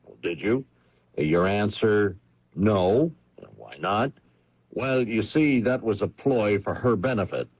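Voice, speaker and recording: A dogged police lieutenant in a radio drama. His voice is very low and swings expressively as he puts skeptical, pointed questions. The old radio recording is dull and close. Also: lively intonation, breathy edge, dry recording, exaggerated voice. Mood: probing, skeptical. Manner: pointed questioning with deliberate pauses.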